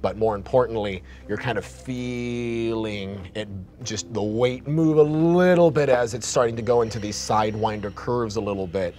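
A man's voice: on the low side, moderate pace, hardly any pauses, darkish tone, a little hiss or hum. A man in his thirties narrating a tabletop horror game as game master, rambling and vivid, recorded on a studio set. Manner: descriptive, rambling, many restarts.

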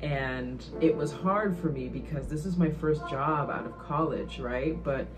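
A woman with a very low voice speaks as a professor sharing a personal testimonial. She sounds around her thirties. Guitar music plays clearly behind her. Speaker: communications professor, former news producer.

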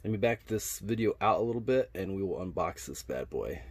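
A man's voice: low-pitched, expressive, fairly quick, husky. A man doing a casual, laid-back unboxing narration as a product reviewer, speaking in colloquial language. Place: indoors at a wooden workbench.

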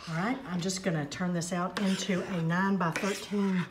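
A woman's voice: on the low side, steady tone, moderate pace. Homey older woman cooking in her kitchen. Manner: homey, step-by-step instructive. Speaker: cooking segment host. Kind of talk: home cooking demonstration. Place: home kitchen, indoors. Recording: echoey kitchen with faint noise. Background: clinking pots, bowls and utensils.

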